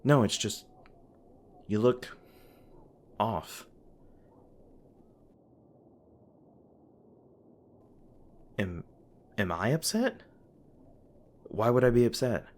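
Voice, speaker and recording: A man playing a weary, guarded monster hunter in an audio roleplay, gruff but caring, with halting, sardonic, sweary speech. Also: medium pitch, expressive. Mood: defensive, annoyed. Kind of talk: audio roleplay, one-sided dialogue to the listener. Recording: noisy ambient sound effects behind voice. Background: ambient sound effects.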